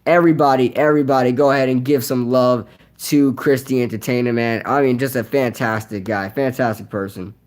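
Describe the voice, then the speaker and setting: A young man whose voice is nearly shot from yelling, rambling casually through a live Q&A with lots of fillers and repeated words. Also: mid-range voice. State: hoarse, voice nearly gone from yelling. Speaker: streamer hosting a live Q&A. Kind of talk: casual live-stream chat reading comments.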